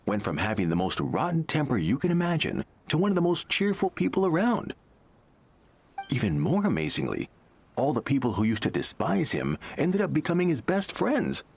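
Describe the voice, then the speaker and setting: Game townsman: smug, gossipy, opinionated, mid-pitched and animated. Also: normal speed, gravelly, husky, exaggerated voice. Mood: knowing. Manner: knowing, opinionated. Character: cynical, gossipy male townsman, smugly persuaded. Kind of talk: anime video game voice acting.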